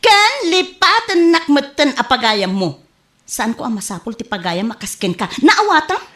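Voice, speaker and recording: A woman's voice: sits high, sing-song, moderate pace, fairly bright tone. A woman acting a lovestruck, hot-tempered woman in an Ilocano radio comedy drama, with an Ilocano accent and scolding delivery. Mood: indignant, scolding. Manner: melodramatic, scolding. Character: Linda, lovestruck, hot-tempered woman courting an old man.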